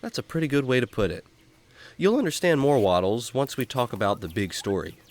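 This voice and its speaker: Upbeat male explorer-host acted by a man, children's audio drama; showman-like, very expressive. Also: mid-range voice, brisk, natural voice. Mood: friendly. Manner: showman-like, friendly, emphatic. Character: upbeat jungle explorer man hosting a kids' story show. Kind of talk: kids' radio drama acting.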